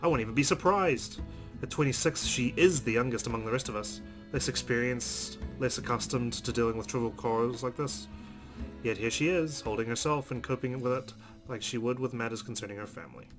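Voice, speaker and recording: Male let's player, medium pitch, dramatic story read-aloud with short asides, clear musical backing. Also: a little fast, fairly bright tone, soft background music. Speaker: let's player reading a visual novel aloud.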